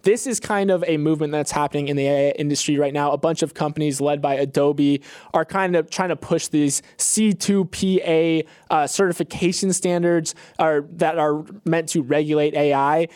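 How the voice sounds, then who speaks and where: A man's voice: on the high side, fairly even intonation, moderate pace, darkish tone, smooth, dry recording, studio quality. A man co-hosting a podcast, offering conversational commentary on business news. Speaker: podcast co-host.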